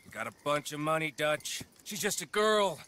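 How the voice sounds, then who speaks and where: A man's voice: a little high, lively intonation, moderate pace, bright, forceful tone. A man acting a callous, self-serving outlaw villain in a western game, sneering and goading, with an American accent.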